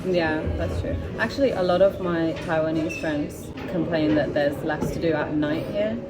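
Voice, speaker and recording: A young woman from London speaks in a low, easy register, chatting casually and laughing now and then. Loud music plays underneath her throughout. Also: moderate pace, poor audio. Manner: relaxed, chatty, laughs easily, many fillers. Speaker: host. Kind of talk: casual chat. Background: background music.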